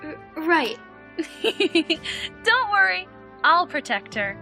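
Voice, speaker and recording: A woman playing a timid, gentle young female warrior in a fan audio drama, with a hesitant manner. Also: very high-pitched, very animated, very slow, vocal fry, faint music behind, full character voice. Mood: nervous.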